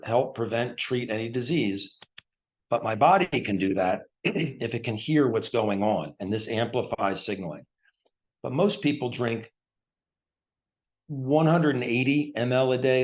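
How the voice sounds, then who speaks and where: A man in his sixties, a retired surgeon, explaining a health product in plain, repetitive terms and clearing his throat often. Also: fairly low pitch. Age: 60s. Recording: dull lo-fi recording.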